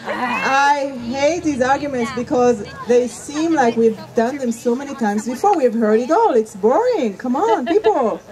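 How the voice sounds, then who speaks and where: Earnest woman with a high voice. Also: expressive, a little slow, crowd noise, low-quality recording. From Israel. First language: Hebrew. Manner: earnest, emphatic, repeats points for weight. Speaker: activist. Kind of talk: impassioned street debate speech.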